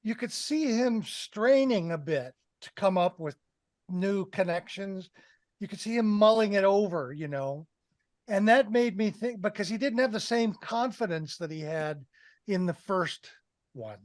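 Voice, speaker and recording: A man's voice: high voice, expressive, a little slow, a little mellow, dry recording. A man in his sixties hosting a film review discussion, talking emphatically and repeating phrases for effect. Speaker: podcast host. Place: indoor room on a video call. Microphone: headset.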